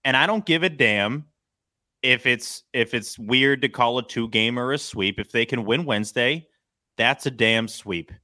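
A man's voice: mid-range voice, a little slow, pushed and bright. A man hosting a sports podcast, chatting casually with a co-host.

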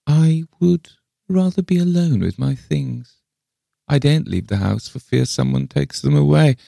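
Man putting on a high, plaintive old lady. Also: a little slow, vocal fry, dark tone, no echo, studio quality, exaggerated voice. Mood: jealous, anxious. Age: older. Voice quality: thin, piping, squeaky. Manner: plaintive, sighing, wailing. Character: eccentric, frail old lady, wistful, peevish and possessive. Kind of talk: dramatised storybook reading.

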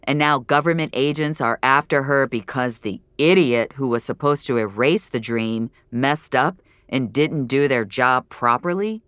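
A woman's voice: very deep voice, measured pace, few pauses, a bit bright, smooth, no echo, clean studio sound. A woman voicing an exasperated, incredulous father in an audio drama, agitated and grumbling. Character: frantic, exasperated father, male film actor. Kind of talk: dramatic audio fiction dialogue.

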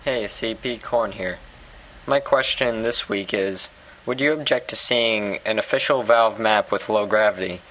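A man's voice: lowish voice, measured pace, a bit bright, rough recording. A man leaving a recorded question for a show, speaking in a prepared, orderly way. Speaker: listener sending a recorded question.

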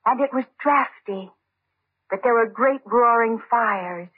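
On a tinny, band-limited old radio recording, a troubled, faded woman of the saloons. Her voice is high and a little husky, with brittle, genteel phrasing. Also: slow. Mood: wistful reminiscing. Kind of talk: old-time radio drama acting.

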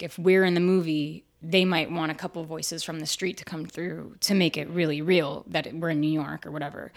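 A young woman with a raspy, husky voice that sits a little low for a woman. She talks candidly and casually about her work, full of fillers and asides, as a guest in an interview. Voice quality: raspy, fairly deep voice. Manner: candid and chatty, with frequent fillers and laughs.

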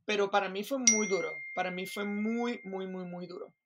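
A woman from Venezuela with a Venezuelan accent, talking as a guest in an interview in an animated way, restarting sentences and checking in with the listener. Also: mid-range voice, normal speed, heavy background noise. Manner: animated, restarts, keeps asking "me entiendes". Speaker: Broadway performer, guest. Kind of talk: interview conversation.

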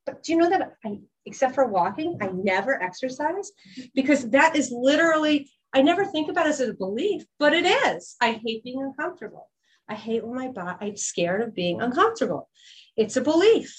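A woman's voice: high voice, poor audio. A woman life coach giving an earnest teaching talk, often restarting and repeating herself as she explains.

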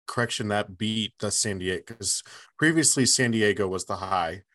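Deep voiced guy cohosting, super dry close sound, wry n teasing casual chat. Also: moderate pace, fairly bright tone, no room sound. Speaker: podcast co-host, sports reporter.

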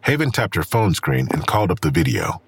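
A man narrating a suspense audio drama in the third person, as a grave storyteller with a husky voice. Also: deep voice, big pitch swings, fairly quick, no room sound, full character voice. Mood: measured.

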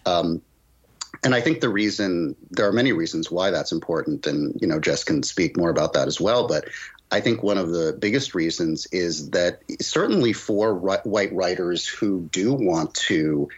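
A man's voice: sits low, expressive, normal speed, husky. A man speaking as a guest academic in a thoughtful interview discussion, on slightly dull audio. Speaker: guest, professor and poet.

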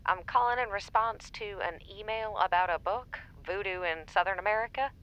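A woman's voice: mid-range voice, normal speed, dry recording, dull audio. An actress playing a dry, matter-of-fact assistant librarian, a woman heard through a telephone filter in an audio drama. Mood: businesslike, wry. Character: brisk, dry female university assistant librarian. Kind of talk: naturalistic audio drama acting.

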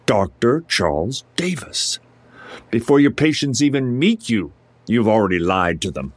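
A man's voice: medium pitch, sing-song, measured pace, no room sound. A man playing a mocking, swaggering, blunt ex-Marine enforcer in an audio drama. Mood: taunting. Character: cocky, needling, foul-mouthed ex-Marine tough guy. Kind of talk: audio drama acting, taunting monologue.

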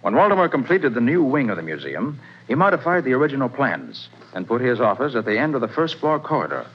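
Quick, lively, husky-voiced detective, 1940s radio drama, lo-fi. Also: male voice, mid-range voice. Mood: confident. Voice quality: brisk, self-assured explaining. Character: confident male detective explaining the case. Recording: dull old radio broadcast.

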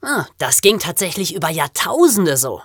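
A woman's voice: on the low side, big pitch swings, moderate pace, husky, dry recording, clean studio sound. A young woman co-hosting a podcast in a conversational, storytelling way. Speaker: podcast co-host.

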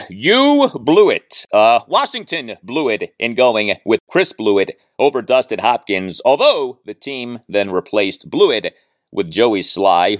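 A man hosting a sports podcast solo, speaking in emphatic, deliberate phrases with heavily stressed words. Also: medium pitch, dry recording, studio quality. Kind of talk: sports commentary monologue with read-out listener mail and ad reads.

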